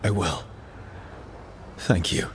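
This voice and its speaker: A man's voice: low-pitched, lively intonation, takes it slowly, fairly bright tone, a little hiss or hum, full character voice. An actor playing a determined male adventurer in a fantasy video game, speaking earnestly and plainly. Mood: quiet awe. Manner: earnest, plain-spoken persuasion. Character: earnest, determined heroic male adventurer pleading his case. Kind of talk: dramatic video game dialogue.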